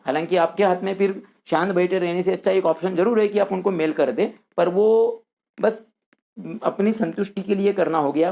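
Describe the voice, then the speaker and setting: A man's voice: a little high, gravelly, mellow. A man hosting an explanatory tutorial, talking instructively to viewers as friends and repeating his points. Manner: repetitive, instructive, addresses listeners as friends. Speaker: host of a quiz-show guidance video.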